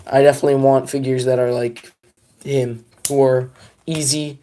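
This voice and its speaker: A man's voice: mid-range voice, little pitch movement, normal speed, dark tone, a little hiss or hum. A young man chatting casually on a live stream, rambling and self-deprecating as he talks to his viewers.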